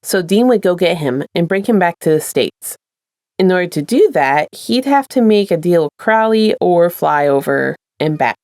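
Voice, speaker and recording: A woman's voice: on the low side, expressive, normal speed, darkish tone, no echo, studio quality. A woman presenting and reading her own fan fiction as a podfic narrator, in an informal storytelling style. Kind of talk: casual intro and scripted story narration.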